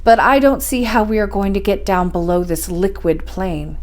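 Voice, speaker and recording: A woman voicing a curious, amazed young nephew on an expedition in a story, questioning and excitable. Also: medium pitch, moderate pace, lightly acted. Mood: doubtful.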